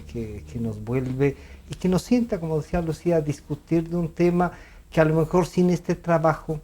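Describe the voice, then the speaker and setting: A man's voice: on the high side, a little mellow. A man hosting a radio interview, asking questions and acknowledging guests often with short replies. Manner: frequent short acknowledgements, friendly closing.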